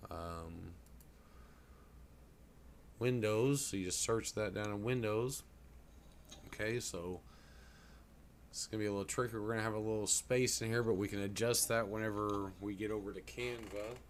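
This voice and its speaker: A man presenting a casual software tutorial in a folksy, informal way. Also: on the low side. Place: indoors at a computer. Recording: clear background noise.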